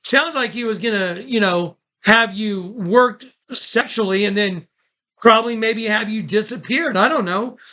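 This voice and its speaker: A man with a high, bright voice speaks on a recorded phone call. He is casual in wording but urgent and insistent, repeating himself as he directs the others. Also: measured pace.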